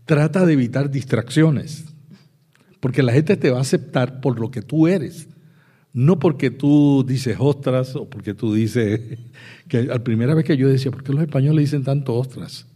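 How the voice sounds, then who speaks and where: A man from Puerto Rico speaking on a conference panel, telling anecdotes with pauses and restarts. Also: mid-range voice, mellow, slightly rough. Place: conference hall with audience.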